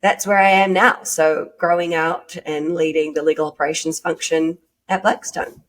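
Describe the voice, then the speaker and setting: Kiwi woman w new zealand accent, lowish voice, being interviewed, casual and a bit hedgy how she talks. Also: fairly even intonation, moderate pace, fairly bright tone, slight background noise. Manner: informal, hedging with "sort of" and "like". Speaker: podcast guest. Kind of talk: conversational career interview.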